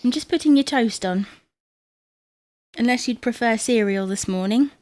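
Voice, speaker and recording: Woman acting a brisk, high-voiced, sarcastic wife. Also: a little mellow, dry recording, natural voice. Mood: brisk, dry. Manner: brisk, dry, chiding. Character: brisk, sarcastic, bossy but caring wife. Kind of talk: audio comedy drama acting.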